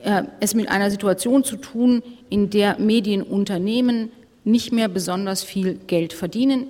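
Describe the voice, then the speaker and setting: A woman moderating a live panel discussion on a conference stage, brisk and wry, hurrying speakers along. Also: mid-range voice, darkish tone. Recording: reverberant hall.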